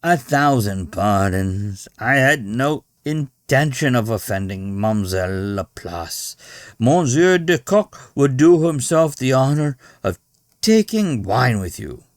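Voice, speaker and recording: A man's voice: medium pitch, big pitch swings, slow, no echo, clean studio sound, voice pushed up. A man voicing a tall, theatrical Frenchman from a storybook, ceremonious and emphatic in his flourishes. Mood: courtly apology. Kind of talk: storybook character voice.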